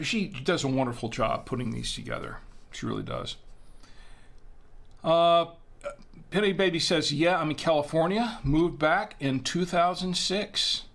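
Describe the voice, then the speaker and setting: A man's voice: on the high side, vocal fry, fairly bright tone. A middle-aged man from Florida hosting a casual wine-tasting livestream, chatting in a folksy way and reading out viewer comments. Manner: chatty, folksy, often trails off and restarts. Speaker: wine review livestream host.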